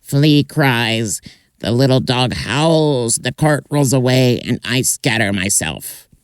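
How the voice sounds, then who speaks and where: A man's voice: mid-range voice, expressive, slow pace, clean tone, dry recording, pitched above the performer's own voice. A man voicing a talking pile of manure in a folk tale, chanting matter-of-factly.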